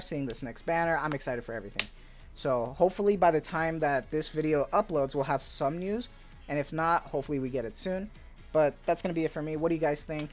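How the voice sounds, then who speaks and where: A man giving casual, rambling commentary on viewer suggestions for a video game, upbeat and eager, full of filler words. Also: fairly high pitch. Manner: laid-back, rambling, peppered with "like" and "dude". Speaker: gaming YouTuber. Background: faint background music.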